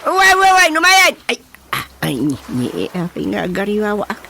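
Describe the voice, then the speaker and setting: Village chairman: Ilocano accent, bossy and teasing, hugely varied pitch, theatrical comedy delivery, slight background noise. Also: wildly swooping pitch, brisk, gravelly, pushed and bright, exaggerated voice. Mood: excited, impatient. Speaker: radio drama actor. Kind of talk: Filipino radio drama comedy acting.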